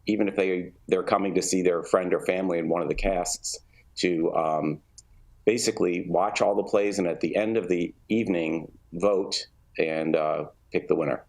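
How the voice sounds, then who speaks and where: A man speaking as an interview guest, explaining things in measured, halting phrases as a theatre director. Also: sits low, vocal fry.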